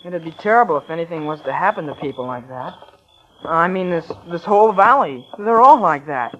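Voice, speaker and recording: A man's voice: on the high side, lively intonation, brisk, a bit bright. An actor playing a naive, earnest young small-town man in a 1940s radio drama, with a hesitant, folksy, boyish manner. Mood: troubled, earnest. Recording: muffled vintage 1940s radio.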